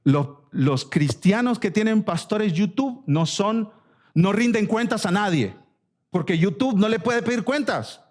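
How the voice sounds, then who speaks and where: A man's voice: high-pitched, lively intonation, measured pace, darkish tone. A man with a Latin American Spanish accent preaching an expository sermon as a pastor, emphatic and exhorting, repeating phrases and asking rhetorical questions. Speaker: pastor preaching to his church.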